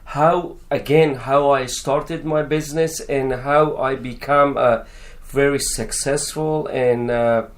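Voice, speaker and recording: A man's voice: mid-range voice, fairly even intonation, slow pace. A man from Afghanistan, a car dealer, chatting casually on radio and often repeating his words. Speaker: used car dealer, new radio show host.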